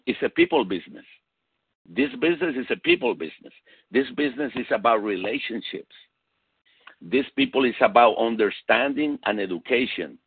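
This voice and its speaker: A man's voice: on the low side, vocal fry, breathy edge, no room sound. A man with a Latin American Spanish accent giving an emphatic motivational business talk over a conference call, repeating key phrases for weight. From South America and Puerto Rico. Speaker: motivational sales speaker, executive consultant. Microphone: phone conference call. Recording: tinny narrow phone-line sound.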